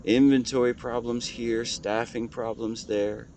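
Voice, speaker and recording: A man playing a weary, devoted husband in a scripted dramatic monologue, speaking tenderly and haltingly as if to his wife. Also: mid-range voice, fairly even intonation, a little mellow, slight background noise, close to an everyday voice. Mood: weary, fond. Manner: halting, earnest, intimate delivery. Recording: clear background ambience with nature sounds.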